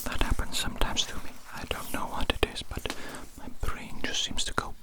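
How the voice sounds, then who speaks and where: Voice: moderate pace, close to an everyday voice. Whispering, absent-minded alien doctor, acted out by a performer. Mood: absent-minded, fussy. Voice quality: breathy, unvoiced whisper. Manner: murmuring, repeats phrases over and over. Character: absent-minded alien doctor, fussy, soothing, measuring a patient. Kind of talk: ASMR roleplay, breathy whisper.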